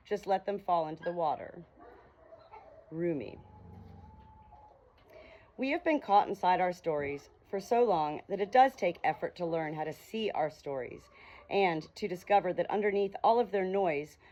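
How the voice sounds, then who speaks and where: A woman with a fairly low voice reads aloud in an informal, unhurried manner. She sounds like an amateur reader who slips easily between text and casual talk. The recording is made outdoors, with faint farm ambience and animals behind her. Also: a little mellow, muffled recording. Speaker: audiobook reader and small hobby farm keeper. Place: outdoors on a small hobby farm. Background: farm animal sounds, ambient noise.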